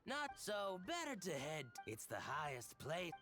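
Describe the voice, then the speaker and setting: Fantasy game townsman: learned, formal explainer, lively intonation over clear music. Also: a little high, measured pace. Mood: formal, informative. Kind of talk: video game voice acting.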